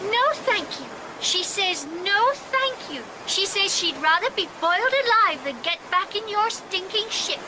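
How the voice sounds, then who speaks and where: A woman's voice: extremely high pitch, lively intonation, unhurried, hardly any pauses, background noise. A woman playing a bold, fanciful young girl in a period radio drama, with a precise, prim and cheeky manner. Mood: assured, cheeky. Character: bold, fanciful young girl speaking for her silent mother.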